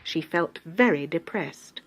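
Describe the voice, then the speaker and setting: Slow, theatrical woman storyteller. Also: deep voice, animated, no room sound. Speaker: storybook reader. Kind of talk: scripted children's story narration.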